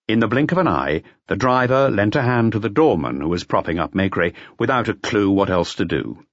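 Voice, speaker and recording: Deep-voiced, animated male audiobook narrator. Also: no room sound, dull audio. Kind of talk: scripted mystery audiobook narration.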